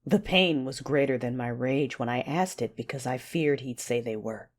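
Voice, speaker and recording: A woman playing a passionate, fierce but wounded Sith warrior, narrating her own story in an intimate, breathy audio-drama style. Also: extremely low pitch, moderate pace. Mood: pained. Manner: intimate, breathy, intense delivery.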